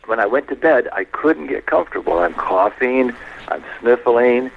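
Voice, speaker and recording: A man guest on a radio talk show, explaining health matters in a measured, anecdotal way, step by step. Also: mid-range voice, expressive, vocal fry, narrow, tinny audio, a little hiss or hum.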